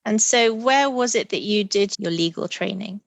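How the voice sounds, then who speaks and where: A woman hosting an interview podcast, reading out the guest's introduction and then asking short, friendly questions. The recording is dry and close, with almost no room sound. Her voice sits at an average pitch. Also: moderate pace, gravelly, no room sound. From Singapore. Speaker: podcast host.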